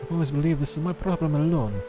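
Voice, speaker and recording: A man's voice: a little high, fast talker, music in the background, close to an everyday voice. Man voicing a proud, weary young royal heroine. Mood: earnest, vulnerable. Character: proud, weary young woman, claimant to a throne.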